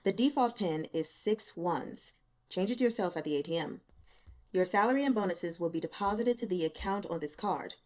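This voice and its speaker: A tired male office boss from an audiobook, played by a woman narrator. He talks in a casual, offhand way, brisk and blunt, with a wry teasing edge. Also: on the low side, expressive, darkish tone, natural voice. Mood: offhand, businesslike.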